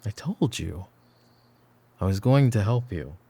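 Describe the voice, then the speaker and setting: A brooding mafia boss acted by a man speaks in a dark, mellow, fairly low voice at a very unhurried pace. He is calm and commanding, with a protective, teasing edge. The delivery is soft and intimate, in the manner of a roleplay ASMR drama. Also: creaky, slightly rough, full character voice. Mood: firm, reassuring. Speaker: voice actor.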